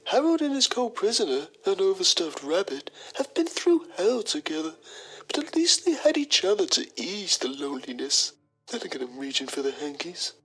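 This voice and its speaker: A woman's voice: on the low side, very animated, moderate pace, slightly rough, soft background music, low-quality recording. A woman playing a pretentious, self-admiring male journalist, narrating a mock documentary in a theatrical manner. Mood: pompous musing. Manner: pompous, theatrical.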